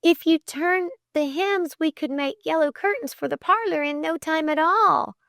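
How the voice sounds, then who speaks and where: A woman's voice: extremely high pitch, moderate pace, creaky, fairly bright tone, dry recording, clean studio sound, pitched above the performer's own voice, full character voice. A woman voicing an earnest, flustered young girl who talks formally and hesitantly in an audiobook story. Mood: timid, earnest.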